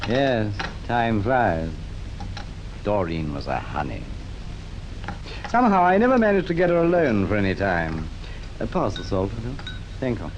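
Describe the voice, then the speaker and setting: A man's voice: huge pitch swings, measured pace, pauses often. An actor playing a cocky, charming conman officer in an old radio drama, talking in a breezy, boastful way. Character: cocky, charming male conman officer recalling his swindles. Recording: old radio recording, faint noisy rumble.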